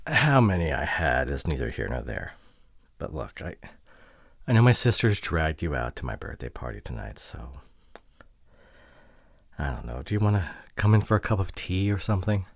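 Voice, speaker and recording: A man playing a hurt, introverted, awkwardly apologetic boyfriend in an ASMR roleplay audio drama, speaking haltingly and close to the microphone. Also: extremely low pitch, big pitch swings, no echo, heavily acted. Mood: awkward, tentative. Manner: hesitant, halting, sighing delivery with soft drops. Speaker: voice actor. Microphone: close microphone, dry.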